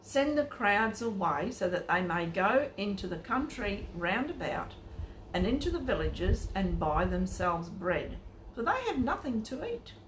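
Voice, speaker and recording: A woman's voice: medium pitch, sing-song, measured pace. A woman storyteller voicing concerned, practical male disciples in a Bible story, questioning and doubtful. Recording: echoey room with faint noise.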